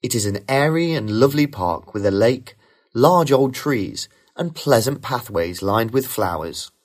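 Man giving rehearsed test answers, lively pitch. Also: unhurried. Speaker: sample test candidate. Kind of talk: scripted model speaking-test answers.

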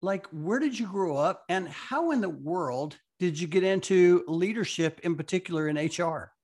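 High-pitched male host, upbeat, stumbles into his questions. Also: lively intonation, normal speed, a little mellow. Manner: enthusiastic, repeats himself while starting sentences. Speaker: podcast host. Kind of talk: interview host.

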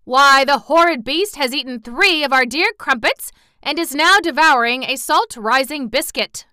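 A woman voicing an indignant loafer of bread who complains loudly, in a storybook reading. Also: high-pitched, moderate pace, dry recording, studio quality, pitched above the performer's own voice.

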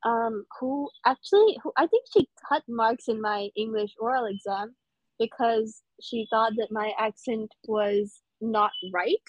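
Casting: young American woman, talkative podcast guest, a little high, dry and slightly muffled. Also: a little slow, no echo. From United States. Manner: chatty, laughs easily, lots of fillers. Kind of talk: casual interview chat.

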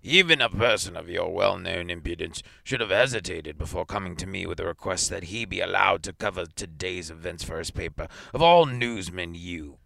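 A man's voice: sits low, lively intonation, normal speed, creaky, bright, forceful tone, no echo, exaggerated voice. A man voicing a stern, pedantic elderly male astronomer who speaks formally and with indignation. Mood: indignant.

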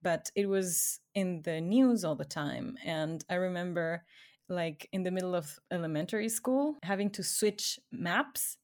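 A woman's voice: on the low side, measured pace, darkish tone. A woman from Ecuador, probably in her thirties or forties, talking casually and expressively in an informal conversation.

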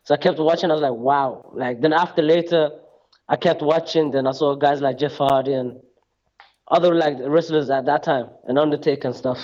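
A man's voice: a little high, steady tone, brisk, a little mellow. A young man, a guest chatting casually and rambling with lots of fillers. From England. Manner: rambling, hesitant, many fillers and restarts. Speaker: guest, wrestler. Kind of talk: casual interview chat. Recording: dull lo-fi sound.

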